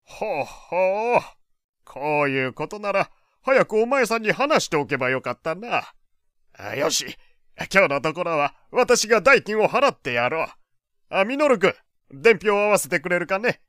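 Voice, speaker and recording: An actor playing a genial, slightly pompous old gentleman with old-fashioned, avuncular speech in an audio drama. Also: high-pitched, very animated, moderate pace, no echo, clean studio sound. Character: genial old gentleman, pompous but kindly family man. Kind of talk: Japanese audio drama acting.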